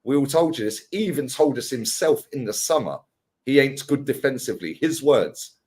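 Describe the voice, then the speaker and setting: A man's voice: mid-range voice, expressive, moderate pace, a little mellow, breathy edge. A man hosting a football fan panel, with laddish, teasing banter.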